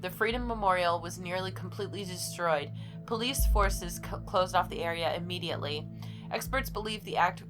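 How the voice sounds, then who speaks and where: A woman's voice: medium pitch, poor audio. A woman hosting a gaming video, reading game text aloud and chatting in a playful way, sometimes stumbling over the words. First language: English. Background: game music.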